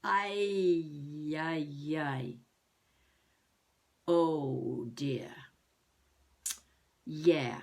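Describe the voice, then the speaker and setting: Elderly would-be novelist woman: unhurried, halting, wry, self-mocking video-diary monologue. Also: low voice, vocal fry, darkish tone, full character voice. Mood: wry musing. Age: older. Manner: musing, halting, talking to herself.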